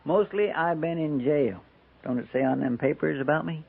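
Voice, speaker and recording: A man's voice: fairly high pitch, moderate pace, vocal fry, slightly rough. A man playing a small, sullen jailbird pressed into the army, insolent and rustic, in a 1950s radio western. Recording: tinny 1950s radio broadcast.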